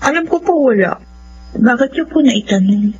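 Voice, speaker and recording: A woman's voice: a little high, expressive, normal speed, a little mellow, slight background noise, natural voice. A woman playing a caring, respectful young woman with a Filipino accent in a radio drama. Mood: polite, uneasy. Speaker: drama voice actor. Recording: dull audio, humming, music underneath.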